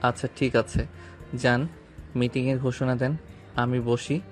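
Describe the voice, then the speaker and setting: A man acting a calm, self-assured young businessman in an audio story drama, speaking in composed, deliberate phrases. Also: mid-range voice, faint music behind, lightly acted.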